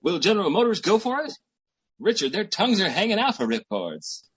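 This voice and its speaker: A brash small-time schemer played by an actor in a radio sitcom. He pitches like a pushy salesman in a high, husky, swooping voice. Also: very animated, fairly bright tone, exaggerated voice. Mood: eager, scheming. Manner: pushy, fast-talking salesman patter.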